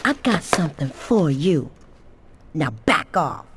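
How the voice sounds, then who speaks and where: Slow-talking tough, defiant crime moll. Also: female voice, low voice, big pitch swings, background noise, full character voice. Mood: threatening. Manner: hard-boiled, curt. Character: tough, cornered gangster's woman, defiant and world-weary. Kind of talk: video game cutscene acting.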